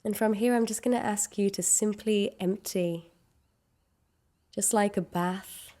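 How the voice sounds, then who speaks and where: A woman leading a guided meditation, giving gentle, unhurried instructions with long pauses. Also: medium pitch, steady tone.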